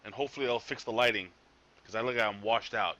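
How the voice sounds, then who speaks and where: Animated male show host, bright forward voice, repeats himself, casual panel banter. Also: fairly low pitch, quick pace, muffled recording. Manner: animated, repeats himself, jumps between topics. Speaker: podcast host.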